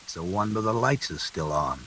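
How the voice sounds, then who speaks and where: An actor playing a sinister night elevator operator in a classic radio suspense drama, laconic and coolly insinuating. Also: male voice, low-pitched, sing-song, brisk, fairly bright tone, breathy edge, exaggerated voice. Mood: coolly ominous. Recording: hissy, noisy old radio recording.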